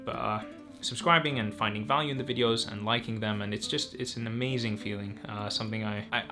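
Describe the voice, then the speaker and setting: Fast-talking bright young man. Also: on the low side. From Greece. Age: 20s. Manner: quick, energetic, reads out viewer questions. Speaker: medical student YouTuber. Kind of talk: casual Q&A vlog. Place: home room or desk setup. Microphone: camera facing him at close range.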